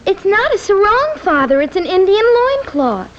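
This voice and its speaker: An American actress playing an earnest, prim, easily exasperated young daughter in an old radio comedy. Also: female voice, extremely high pitch, very animated, normal speed. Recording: muffled old-time radio recording, faint noise.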